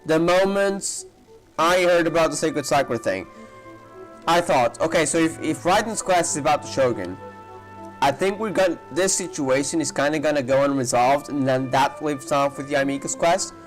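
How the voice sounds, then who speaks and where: A man's voice: on the high side, moderate pace, low-quality recording. Casual male gamer commentating over music. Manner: rambling, thinking aloud. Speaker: gaming streamer reacting to a playthrough. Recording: game music behind the voice.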